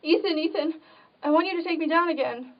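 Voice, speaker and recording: Woman playing a lovelorn young girl, high earnest pleading voice, muffled far off mic. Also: fairly quick. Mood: desperate urging. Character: timid, lovelorn young woman, desperate and devoted. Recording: dull, distant microphone.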